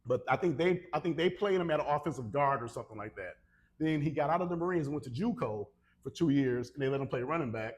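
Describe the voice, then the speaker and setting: Male American co-host, slightly high register, animated and teasing, informal storytelling between friends. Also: quick pace. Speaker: podcast co-host. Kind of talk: casual conversation between friends.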